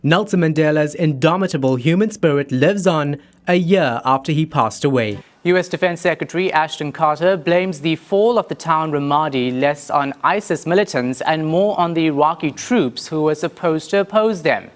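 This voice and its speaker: A man anchoring and reporting the news in a crisp, measured broadcast delivery. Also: a little high. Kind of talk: scripted news presenting.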